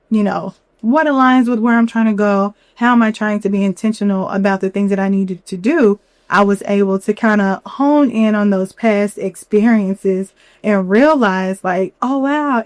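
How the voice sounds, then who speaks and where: A young woman hosting a solo podcast, a little hoarse from a cold, talking candidly with lots of "you know". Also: medium pitch, a little mellow, dry recording. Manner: candid, chatty, many fillers and "you know". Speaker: podcast host. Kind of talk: reflective solo podcast talk.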